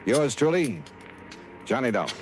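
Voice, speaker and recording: A man's voice: mid-range voice, big pitch swings, moderate pace, heavy background noise, natural voice. A man playing a wry, breezy American insurance investigator, narrating his report punchily in 1950s radio drama style. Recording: old radio recording with loud music.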